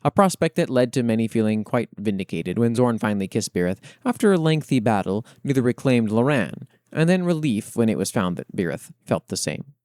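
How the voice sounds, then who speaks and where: Man, podcast host, medium pitch, measured storytelling delivery, expressive fiction reading, dry recording. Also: no room sound. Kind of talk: expressive reading of written fiction with spoken commentary.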